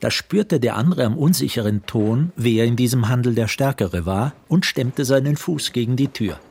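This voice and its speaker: A man's voice: mid-range voice, animated, normal speed, vocal fry, darkish tone, husky. A man narrating a literary radio play as storyteller.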